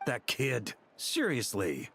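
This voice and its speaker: An actor playing an assured grandmaster of knights in a video game, with a sardonic, unhurried, commanding manner. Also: male voice, medium pitch, huge pitch swings, vocal fry, fairly bright tone, soft background music, exaggerated voice.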